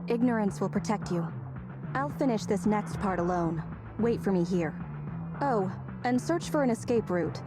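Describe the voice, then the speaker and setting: A woman acting as a disciplined female soldier from a video game, stern and guarded. Her delivery is clipped and formal, military to the core, at a medium pitch. Loud music plays behind her. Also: expressive, normal speed, natural voice. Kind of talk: video game story dialogue.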